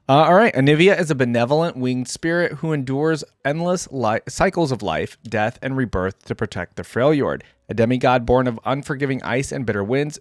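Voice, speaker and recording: A man with a mid-pitched voice presents as a reaction host. The sound is very dry, with no room around it. He moves between casual, enthusiastic fan commentary and reading lore aloud. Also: dry recording.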